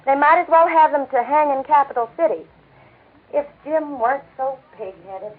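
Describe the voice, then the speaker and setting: A woman's voice: high voice, normal speed, close to an everyday voice. An American actress playing a fond, mildly exasperated middle-aged mother in 1940s radio acting style. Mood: wistful grumbling. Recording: muffled, narrow 1940s radio recording.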